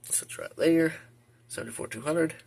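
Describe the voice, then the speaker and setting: A man talking casually and haltingly through an unscripted show-and-tell as a hobbyist. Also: fairly high pitch, gravelly. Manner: halting, hesitant, informal. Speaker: hobbyist video host showing a weather radio collection. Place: indoor room at home. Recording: noisy home video with clicks.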